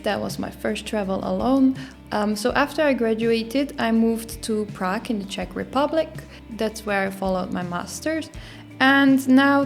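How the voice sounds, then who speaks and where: Young woman, fairly high voice. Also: gravelly. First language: Dutch. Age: young adult. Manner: reflective and easygoing, with soft fillers. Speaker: YouTuber. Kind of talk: casual vlog narration.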